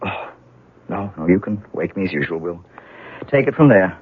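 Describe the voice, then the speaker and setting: An actor playing a grieving, wry and bitter heir to a wealthy house in a 1970s radio drama, speaking haltingly. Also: male voice, sits low, expressive, slightly rough. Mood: weary. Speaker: actor in a radio mystery play. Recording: narrowband old radio broadcast, dry.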